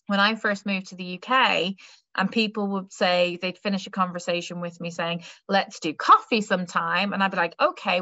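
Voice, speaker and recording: A woman's voice: mid-range voice, sharp tone, no room sound. A young woman with an Irish accent, giving an online careers talk in a conversational way with many fillers and restarts. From Ireland. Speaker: careers adviser. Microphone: webcam or laptop mic on video call. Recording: slightly dull lo-fi audio.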